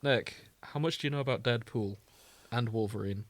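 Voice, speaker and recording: A male podcast host with a middle-pitched voice, chatting casually among friends. The sound is dry, with no room on it. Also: normal speed, no room sound.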